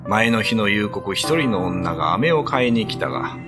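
A man's voice: fairly low pitch, lively intonation, normal speed, over music. A man narrating a ghost story in a dramatic, scripted radio drama style.

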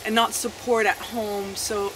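Woman, 30s, from Chile, soft, halting, many fillers, outdoor garden, camera mic, clear outdoor noise. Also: mid-range voice, little pitch movement. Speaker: interviewee sharing her testimony. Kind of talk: personal testimony, unscripted. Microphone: camera mic at a few meters, seated.

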